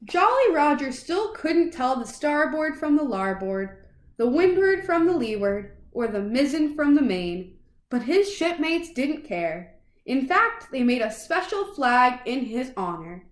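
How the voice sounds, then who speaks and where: Woman, high voice, expressive children's storybook read-aloud, dramatic phrasing, roomy echo. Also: unhurried.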